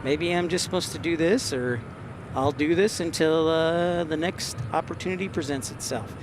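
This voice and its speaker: Higher-voiced man over loud outdoor noise. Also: moderate pace. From Texas, United States. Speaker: livestream host. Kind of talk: casual live commentary, reading and answering chat. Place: outdoors near an airport.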